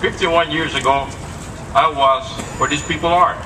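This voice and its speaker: A man's voice: fairly high pitch, fairly even intonation, normal speed. An older man with a Cuban Spanish accent giving an impassioned rally speech as a state representative, repeating words as he goes. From Cuba. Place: outdoor protest rally. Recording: distant mic with loud outdoor noise.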